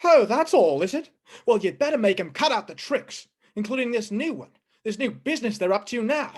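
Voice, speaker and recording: A woman playing an exasperated, bullying police sergeant with a gruff manner, in old-time radio style. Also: on the high side, lively intonation, brisk. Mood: exasperated, hectoring.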